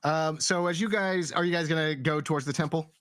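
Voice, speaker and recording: A man's voice: fairly high pitch, steady tone, brisk, a bit bright, dry recording, studio quality. A man acting as game master, casually narrating and steering a tabletop roleplaying game.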